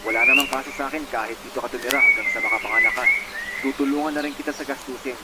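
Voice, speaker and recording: A man's voice: medium pitch, steady tone, rapid-fire, heavy background noise, close to an everyday voice. An actor playing a caring, practical man in a radio drama, speaking in a solicitous, plain-spoken way. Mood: reassuring. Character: caring, practical man looking after pregnant ex-partner. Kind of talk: radio drama dialogue, naturalistic. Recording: loud music under the voice. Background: loud music.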